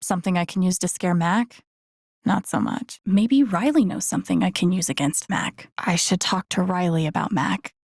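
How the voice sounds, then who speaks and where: A woman playing a thoughtful, wry young game protagonist, musing to herself in low-key introspective lines. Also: moderate pace, creaky, a little mellow, no echo, clean studio sound. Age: young adult.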